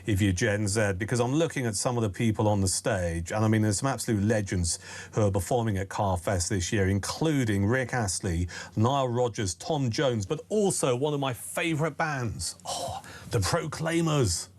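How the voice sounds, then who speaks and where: A man's voice: on the low side, normal speed. A man hosting a live breakfast talk radio show, chatty and upbeat, steering guests and callers. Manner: chatty, upbeat, leads the conversation.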